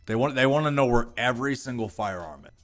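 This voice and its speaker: A man's voice: medium pitch, expressive, fast, soft background music. An American man reading aloud and ranting casually about it, with profane, exasperated and sarcastic commentary. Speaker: political commentary vlogger.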